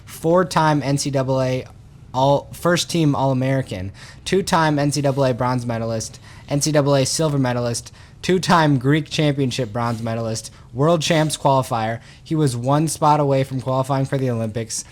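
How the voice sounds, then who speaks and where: A man's voice: medium pitch, normal speed. A young adult man hosting a podcast, upbeat and casual, repeating words as he talks. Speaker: podcast host.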